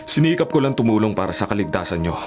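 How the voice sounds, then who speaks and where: An actor playing a serious, dutiful young bodyguard in a Filipino radio drama, speaking formally and respectfully with a Filipino accent. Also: male voice, fairly low pitch, very animated, fast, over music. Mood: humble. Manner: respectful, restrained, earnest.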